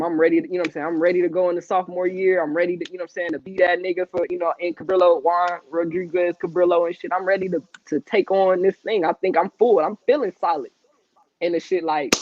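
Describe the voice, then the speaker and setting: A man's voice: high-pitched, no echo. A man in his twenties, the guest of an interview, telling his life story in casual, profane street talk with frequent laughs. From Long Beach, California. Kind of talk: candid storytelling. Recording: dry, muffled lo-fi audio.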